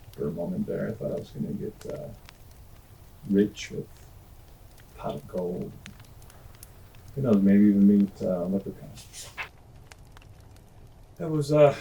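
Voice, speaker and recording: A man hosting a storytelling show, reminiscing casually in a halting, unhurried, rambling way. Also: on the low side, darkish tone, poor audio. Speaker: host and storyteller.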